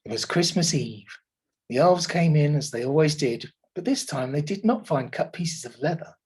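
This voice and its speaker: A teacher with an English accent reading a children's story aloud with expressive delivery, then asking the listeners questions about it. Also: male voice, fairly high pitch, moderate pace, a little hiss or hum.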